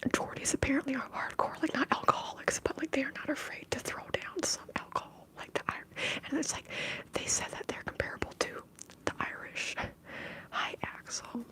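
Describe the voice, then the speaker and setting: A woman's voice: high-pitched, steady tone, a little fast, rough recording. A woman with a Minnesotan accent whispers breathily in a rambling, conversational ASMR livestream, chatting to her viewers. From Minnesota, US upper Midwest. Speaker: livestream host.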